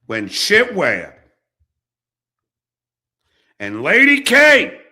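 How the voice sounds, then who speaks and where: A man hosting an online show delivers an angry, profane rant at a rival, confrontational and emphatic, with dramatic pauses. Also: high-pitched, huge pitch swings, bright, forceful tone. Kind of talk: angry personal rant addressed to an absent rival.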